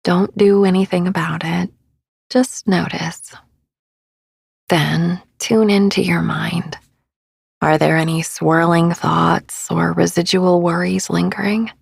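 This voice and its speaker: A woman speaking in a soothing, hushed, often near-whispered voice as she guides a sleep meditation, breathy and husky with vocal fry, pausing gently between phrases. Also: fairly low pitch, steady tone, no room sound, studio quality. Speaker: podcast host, meditation guide. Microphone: close studio microphone, dry.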